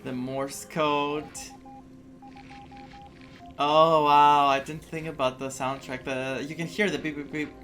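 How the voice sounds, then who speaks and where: Excitable woman reacting to a game over music. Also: deep voice, slow pace, long pauses. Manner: expressive, excitable, exclaiming. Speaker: game streamer, playthrough host. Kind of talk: reaction commentary on a story game, reading choices aloud. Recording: game soundtrack under the voice.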